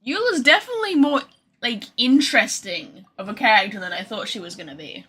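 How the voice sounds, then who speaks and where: A woman's voice: a little high, animated, brisk, a bit bright, breathy edge. A young adult woman giving casual, informal livestream commentary while gaming. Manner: informal, sweary. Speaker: game streamer. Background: game music.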